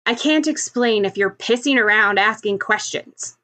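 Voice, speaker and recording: A woman performs a brash young man in an audiobook story. He is gruff and short-tempered, snapping out curt, bossy commands with total cockiness. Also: medium pitch, moderate pace, bright, forceful tone, big-room sound, lightly acted. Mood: irritated. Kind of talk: audiobook character voice.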